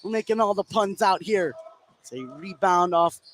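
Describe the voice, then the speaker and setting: Higher-voiced man calling basketball over gym noise. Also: moderate pace. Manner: narrates action continuously, excitable on big plays. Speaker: play-by-play basketball commentator. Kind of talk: live radio sports commentary. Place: courtside in a sports gym during a game. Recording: clear gym noise behind voice.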